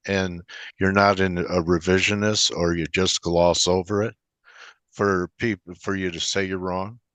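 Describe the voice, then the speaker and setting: Male host, low register, dry recording, wry and informal conversational style. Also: flat delivery, a little slow, fairly bright tone, no echo. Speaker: interview host. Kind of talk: casual interview chat.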